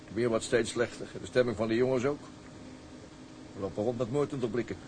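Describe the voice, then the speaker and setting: A man's voice: medium pitch, fairly quick, darkish tone, slight background noise, close to an everyday voice, rough recording. An actor playing a grumbling, world-weary seaman on a tug, with a Dutch accent. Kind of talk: film drama acting.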